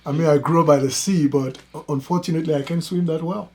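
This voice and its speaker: A man from Liberia, a guest on a podcast, answering in a friendly, soft-spoken way. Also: a little high, steady tone, fast, crowd noise. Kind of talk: casual interview.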